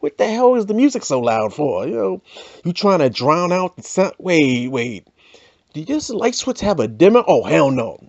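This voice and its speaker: A man's voice: mid-range voice, mellow, dry recording, muffled recording. A man hosting a podcast, telling a comic story in a loose, chatty way full of "you know"s. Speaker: podcast host. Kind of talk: comic monologue.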